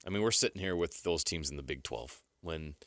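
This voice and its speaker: A man's voice: a bass voice, fairly quick, fairly bright tone, no room sound, clean studio sound. An American man giving opinionated sports commentary on a talk show, emphatic and firm, repeating his points.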